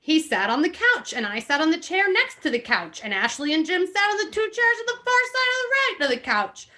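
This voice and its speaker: A woman's voice: extremely high pitch, big pitch swings, fairly quick, pushed and bright. A woman hosting a casual podcast, chatting and reading a book aloud to her guests with an amused, conversational manner full of likes and you-knows.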